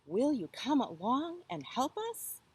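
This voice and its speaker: A woman's voice: high-pitched, wildly swooping pitch, slow, darkish tone, slightly rough, pitched above the performer's own voice, exaggerated voice. A woman voicing a proud, boastful tiger in a children's folktale, in a declamatory manner. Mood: resolute. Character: proud, boastful tiger, mighty forest beast. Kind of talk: children's folktale read aloud.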